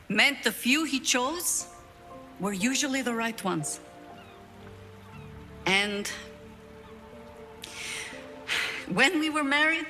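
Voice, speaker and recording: An actress playing a battle-worn older woman druid in a video game, proud, wry and grieving, speaking in a measured, eloquent, dramatic way. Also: medium pitch, sharp tone. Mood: fond reminiscence.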